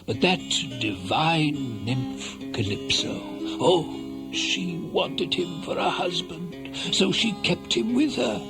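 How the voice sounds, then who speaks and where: A man's voice: fairly high pitch, expressive, takes it slowly, vocal fry, loud music behind the voice, exaggerated voice. A man acting a wistful, wry ancient bard telling a tale in a radio play, dramatic and musing. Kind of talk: 1970s radio play narration.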